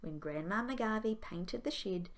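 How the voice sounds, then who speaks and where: A woman's voice: medium pitch, lively intonation, unhurried, noisy background. A woman, a primary school teacher, reading a children's story aloud expressively.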